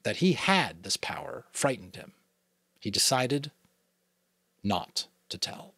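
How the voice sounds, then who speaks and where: A man from New England reading a novel aloud as a father, in a dramatic, expressive narration style. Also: medium pitch, takes it slowly, vocal fry, breathy edge, no room sound. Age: adult, a father. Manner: expressive, varied delivery. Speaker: audiobook reader.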